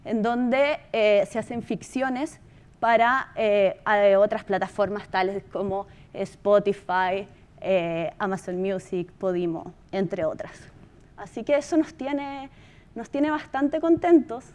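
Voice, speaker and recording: A woman's voice: medium pitch, takes it slowly, darkish tone. A Chilean woman giving an informal conference presentation about her company's work, talking conversationally and repeating words for emphasis.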